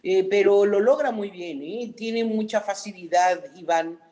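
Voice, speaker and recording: Mexican woman telling lively stories. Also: mid-range voice, a little slow. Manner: animated storyteller, frequent "¿no?" tags. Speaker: actress, interview guest. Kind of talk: casual interview chat.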